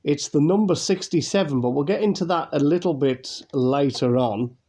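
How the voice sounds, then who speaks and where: A man's voice: fairly high pitch, normal speed, mellow. A man from England, hosting a casual unboxing video, chatty and rambling with fillers, his voice nasal and congested from a cold. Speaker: YouTube unboxing host.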